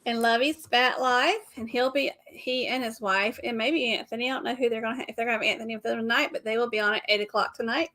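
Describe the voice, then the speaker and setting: A woman's voice: a little high, steady tone, fast, hardly any pauses, bright, forceful tone. A middle-aged woman, hosting a casual live stream and chatting with viewers while laughing often.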